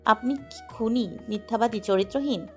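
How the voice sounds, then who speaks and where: A young wife played by a woman cries out in a fairly high, tearful voice. She pleads and accuses with hurt defiance, in romantic audio-drama style. Soft music plays behind her. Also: moderate pace, lightly acted. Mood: defiant, accusing. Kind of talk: romantic audio story acting.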